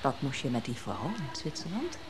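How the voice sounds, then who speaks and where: An actress playing a bitter, money-minded, scolding sister-in-law in a radio drama, sharp-tongued and accusing. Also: female voice, very deep voice, huge pitch swings, normal speed, over music, full character voice. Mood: cold, accusing. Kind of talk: naturalistic Dutch radio drama acting. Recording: noisy old radio drama recording.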